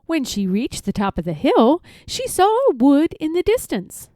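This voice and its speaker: A woman narrating a children's story from a script, expressive and careful in her phrasing. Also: high voice, unhurried, no room sound, clean studio sound. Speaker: storybook reader.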